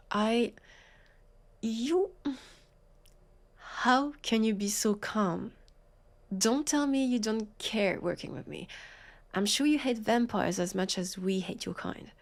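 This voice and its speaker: Slow-talking, sardonic French vampire cop, played by a woman. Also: a little high, gravelly. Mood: weary, wry. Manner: halting, sarcastic, exasperated. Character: weary, sardonic, indignant female vampire police officer. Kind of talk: scripted audio roleplay acting.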